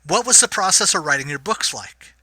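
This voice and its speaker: An interview host asking relaxed, encouraging questions. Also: medium pitch, a little fast, breathy edge, no room sound. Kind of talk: interview hosting.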